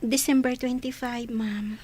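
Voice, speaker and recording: Woman playing a high-voiced, humble servant girl. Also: steady tone, unhurried, a little mellow, slightly rough, no room sound, faint music behind. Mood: polite, open. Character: polite, humble girl working as a house helper. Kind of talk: Ilocano radio drama acting.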